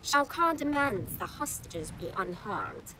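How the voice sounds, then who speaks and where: A woman's voice: mid-range voice, huge pitch swings, unhurried, heavy background noise. An actress playing a haughty, menacing female warrior villain in a video game, with an imperious, formal manner. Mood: cold, commanding. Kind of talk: video game cutscene acting.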